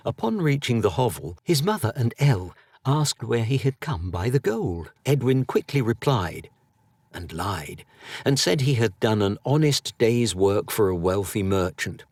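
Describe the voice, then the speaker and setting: A British man reads a story as an audiobook narrator. His voice is fairly low and very expressive in pitch, with a slow, deliberate pace. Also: no echo.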